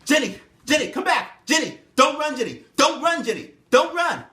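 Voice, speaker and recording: A man plays a plantation master who stammers and pleads in a raised, strained voice. His tone swings high and low. The room gives a noticeable echo. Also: sing-song, moderate pace. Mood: anguished. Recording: echoey room.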